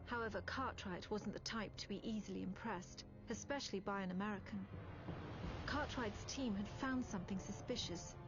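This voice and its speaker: Actress as British female military officer, game narrator: composed, grave and measured, slightly muffled audio. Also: medium pitch, close to an everyday voice. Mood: measured, serious. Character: composed British female intelligence officer recounting a grim war. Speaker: story narrator. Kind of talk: scripted dramatic narration. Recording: dull lo-fi game audio, music behind. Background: loud background music.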